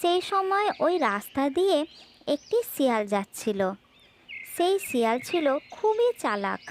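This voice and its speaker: A woman's voice: high-pitched, very animated, a little slow, slight background noise. A woman telling a children's fable as a scripted storyteller.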